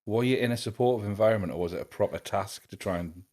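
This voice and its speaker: A man hosts a podcast and keeps the chat moving with quick questions and friendly asides. His voice sits low and he talks at a brisk clip. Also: darkish tone. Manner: quick, chummy interjections and questions. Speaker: podcast host. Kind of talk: casual interview chat.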